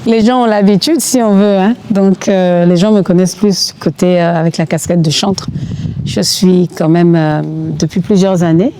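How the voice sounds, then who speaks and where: A woman's voice: on the low side, steady tone, moderate pace, mellow. A middle-aged woman with a Congolese French accent, explaining things in an unscripted interview with frequent conversational tags. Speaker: gospel singer, interview guest. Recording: clear background noise.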